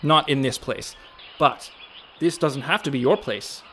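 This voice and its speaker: A man playing a gentle, cryptic fairy guardian of a forest in a radio play, with an unhurried, lilting delivery. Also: medium pitch, slight background noise, natural voice. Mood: gently persuasive. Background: birdsong, woodland ambience.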